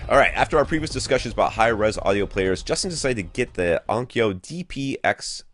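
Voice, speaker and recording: A man's voice: fairly low pitch, animated, a little fast, fairly bright tone. A man co-hosting a podcast, chatting casually about tech in a rambling, informal way and often cutting into his own sentences. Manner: rambling, self-interrupting, informal. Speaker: podcast co-host. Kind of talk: casual tech talk, reading out listener questions.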